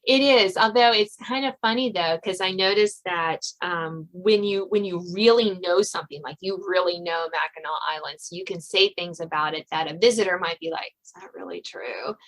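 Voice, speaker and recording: Bright-voiced American woman hosting a podcast. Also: on the low side, normal speed, hardly any pauses, low-quality recording. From Virginia, US. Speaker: podcast host. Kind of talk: casual interview chat.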